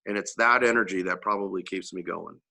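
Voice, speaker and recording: Earnest man with a bright voice. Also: low-pitched, a little fast, gravelly. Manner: earnest, heartfelt. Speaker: panelist, public servant. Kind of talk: prepared panel remarks.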